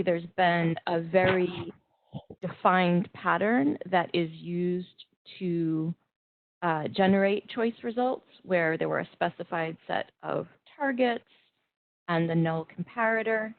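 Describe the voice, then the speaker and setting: Measured woman presenting over a tinny call. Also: on the low side, creaky, no room sound. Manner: measured, explanatory, occasional restarts. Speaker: webinar presenter and moderator. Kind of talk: webinar presentation. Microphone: video call. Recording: tinny phone-like web call audio.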